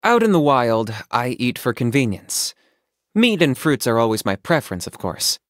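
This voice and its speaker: A man's voice: medium pitch, moderate pace, no echo, lightly acted. Cool, dry young hunter played by a man, close studio sound. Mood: composed. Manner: deadpan, matter-of-fact, composed. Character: calm pragmatic young male hunter, businesslike, dry. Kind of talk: video game character voice acting.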